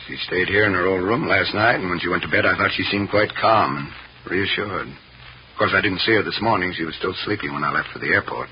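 A man acting as a protective, troubled American family man speaks low and earnestly, with firm resolve. It is mid-century radio drama, on a muffled recording with faint noise. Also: fairly quick, lightly acted. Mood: troubled, resolute. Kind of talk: 1950s radio serial acting.

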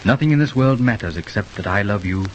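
A confident young English army captain, played by a man in an old radio play. His voice sits low and he sounds breezy. A faint hiss lies under the old recording. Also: expressive, fast, dull audio, natural voice. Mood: tender. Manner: breezy, dismissive, tender with his sweetheart. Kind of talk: 1930s radio drama acting.

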